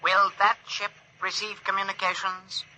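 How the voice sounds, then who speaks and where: A man's voice: sits high, completely flat delivery, moderate pace, rough recording. A male actor in a 1950s radio drama playing an earnest, courteous alien who speaks in stilted, formal phrases through a communicator filter. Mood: grave, measured.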